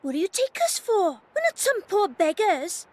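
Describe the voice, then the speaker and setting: A woman's voice: very high-pitched, very animated, moderate pace. A woman voicing a defiant young boy thief. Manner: defiant, clipped. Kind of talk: video game voice acting.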